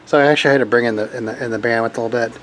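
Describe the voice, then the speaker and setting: A man's voice: medium pitch, steady tone, very fast, a bit bright, a little hiss or hum, low-quality recording. A man giving a casual hands-on tech demo as a hobbyist, talking informally and rambling as he thinks aloud. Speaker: hobbyist radio operator demonstrating equipment.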